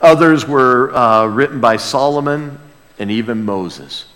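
A man preaching an expository sermon, reverent and emphatic, moving between loud proclamation and hushed emphasis. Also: medium pitch, animated, a little slow. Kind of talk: expository sermon with dramatic scripture reading. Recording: long reverberant hall sound.

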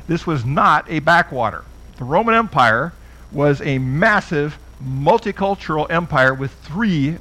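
A man's voice: a little high, fairly bright tone, poor audio. A middle-aged man teaching a Bible class in a conversational way, often putting questions to his listeners. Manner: conversational, asks the audience questions.

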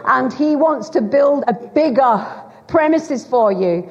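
A woman's voice: high-pitched, animated, takes it slowly. A middle-aged woman with a Lancashire accent, preaching a chatty, teasing sermon to a church congregation. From Bolton, Greater Manchester, England. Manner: chatty, teasing, self-deprecating. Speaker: guest preacher. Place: church hall. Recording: dull, long hall reverb.

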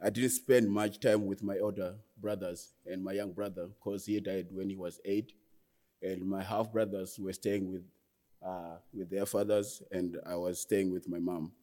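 A man in his twenties with a Zimbabwean accent, giving a personal testimony as a guest speaker in an earnest, halting way that often restarts phrases. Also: sits low, steady tone, warm tone. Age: 20s. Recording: long hall reverb.

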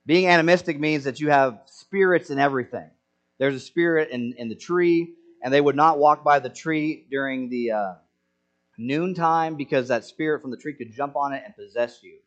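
A man's voice: on the high side, normal speed. Earnest male pastor preaching, dull recording. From Senegal. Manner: earnest preaching with rhetorical questions. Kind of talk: sermon.

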